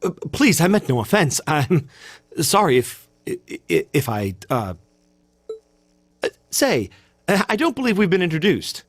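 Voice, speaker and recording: A man's voice: fairly high pitch, very animated, a little slow, dry recording. An American man voicing a stiff, proud, bookish teenage cadet in a video game, formal and hesitant. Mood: flustered. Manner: formal, hesitant, self-conscious.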